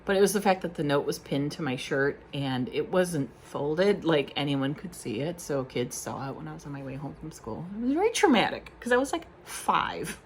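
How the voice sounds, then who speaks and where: Low-voiced chatty woman vlogger. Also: slight background noise. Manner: rambling, chatty, self-deprecating with many fillers. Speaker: beauty vlogger. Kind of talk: casual chat to camera.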